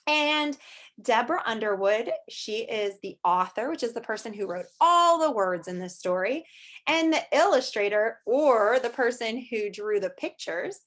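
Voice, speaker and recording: Higher-pitched woman reading aloud to children. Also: expressive, unhurried, a bit bright. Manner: explains words simply, asks children questions. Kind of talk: storybook read-aloud lesson for young children. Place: online video session with screen sharing.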